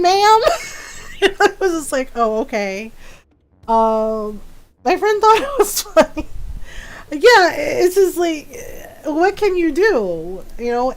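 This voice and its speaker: A woman in her forties chatting casually with her stream viewers and laughing often as she tells a story. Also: high voice. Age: 40s.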